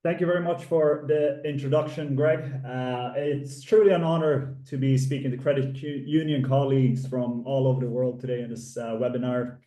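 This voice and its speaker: Man, webinar presenter, halting with fillers, deep dark tone, echoey distant recording. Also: fairly even intonation. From Finland and Sweden. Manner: halting, frequent filler pauses. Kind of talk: slide presentation. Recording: echoey room, distant mic.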